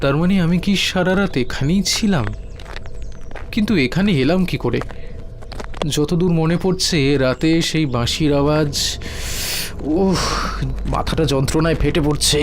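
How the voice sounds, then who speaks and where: A male actor voices an anxious young village man in a spooky cartoon drama. His voice sits a little high, weary and easily startled into halting exclamations. There is faint noise with insects behind him. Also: lightly acted. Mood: confused. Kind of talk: dramatised horror story dialogue.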